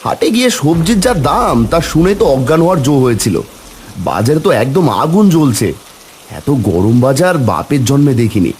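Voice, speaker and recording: Man acting animated, worried poor village husband. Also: fairly high pitch, a little fast, slight background noise. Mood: grumbling, worried. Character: poor village husband, weary but caring elder brother figure. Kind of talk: Bengali cartoon voice acting.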